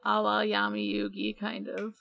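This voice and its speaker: A woman's voice: mid-range voice, completely flat delivery, moderate pace, gravelly, fairly bright tone, a little hiss or hum. A woman podcast co-host chatting casually in a hesitant way, often trailing off and swearing offhandedly. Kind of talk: casual chat.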